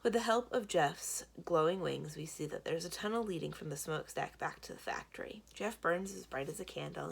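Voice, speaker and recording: A woman reading a children's book aloud as expressive scripted narration. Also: low voice, creaky, breathy edge. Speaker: library read-aloud reader.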